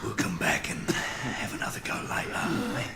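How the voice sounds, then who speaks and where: A man's voice: sits low, expressive, normal speed, vocal fry, background noise. An actor playing a menacing dungeon guard, mocking his prisoner in falsely friendly words, as in a dramatic video game. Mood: mocking coaxing. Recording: game audio under music.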